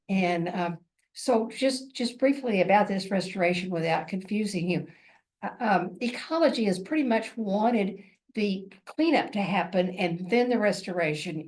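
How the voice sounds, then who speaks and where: A woman's voice: lowish voice, darkish tone. A woman giving an informal presentation at a community meeting, earnest and rambling, often correcting herself.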